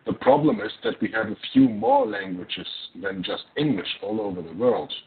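A man's voice: fairly low pitch, huge pitch swings, normal speed, gravelly. A man whose first language is German, hosting a religious discussion broadcast in careful, hesitant English with many fillers. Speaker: broadcast host. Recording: tinny phone-line audio with faint hiss.

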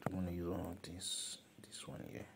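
A man's voice: deep voice, completely flat delivery, normal speed, vocal fry, whispered. A man talking viewers through a phone design app step by step, repeating himself and calling things "this guy". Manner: instructive, repeats steps, calls objects "this guy". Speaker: design tutor. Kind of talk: screen-recorded software tutorial.

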